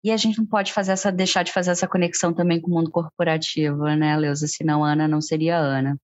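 A woman with a Brazilian Portuguese accent co-hosts a podcast in a conversational, explanatory way, often turning questions to her partner. Her voice sits somewhat low. The recording is dry and slightly dull. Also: flat delivery, fast, no echo. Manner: explanatory, asks co-host questions. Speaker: podcast co-host.

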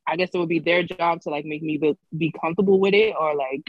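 A woman co-hosting a casual group chat, frank and animated, swearing freely. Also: sits low, fast, no echo, highs cut off.

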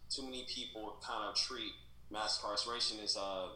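Very bright, forceful American man, halting delivery. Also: on the low side, steady tone. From Kansas City, Missouri, USA. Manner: earnest, halting, repeats words and phrases. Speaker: guest, writer. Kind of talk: conversational interview answers. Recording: echoey room, faint background noise.

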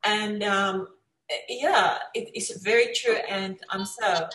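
A woman's voice: mid-range voice, fairly even intonation, slow, fairly bright tone. A woman hosting a conversational interview show, speaking in an effusive, encouraging way. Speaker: talk show host. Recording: echoey room sound.

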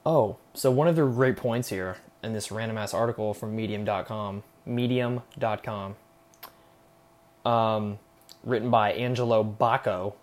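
A young man with a slightly low voice talks solo as a podcast host. He rambles off the cuff in a slangy, sarcastic way and swears freely. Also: a little slow, a little mellow. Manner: heavy casual swearing, slangy; sarcastic, irreverent. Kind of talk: casual unscripted rambling monologue.

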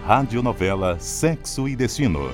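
A man's voice: mid-range voice, sing-song, normal speed. A man reading scripted radio credits as an announcer, formal and declamatory. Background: music.

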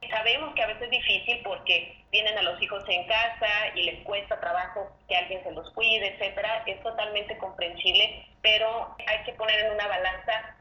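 A woman's voice: mid-range voice, steady tone, moderate pace, hardly any pauses. A woman gynecologist and surgeon, giving earnest, emphatic advice in a podcast chat. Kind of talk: casual podcast conversation. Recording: dull roomy line with faint noise.

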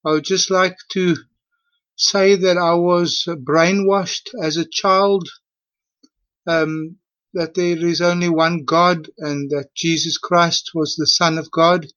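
A man in his late fifties with a South African accent, leaving an earnest, halting and emphatic personal testimony as a voicemail. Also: on the high side, fairly even intonation, a bit bright. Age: late 50s. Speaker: voicemail caller. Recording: dull lo-fi voicemail audio.

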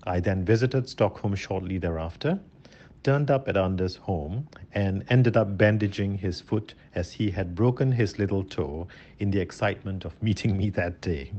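A man's voice: low voice, moderate pace, a little mellow, lo-fi sound. A middle-aged or older man giving a prepared personal testimony. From Singapore. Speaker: interviewee sharing his story.

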